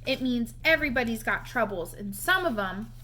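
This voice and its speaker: A woman plays an earnest little storybook boy who pokes into everyone's business kindly. The voice is high and bright. He gives homespun advice in a persistent, matter-of-fact way. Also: expressive, moderate pace, a little hiss or hum. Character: earnest, nosy little red-headed boy, kind and persistent.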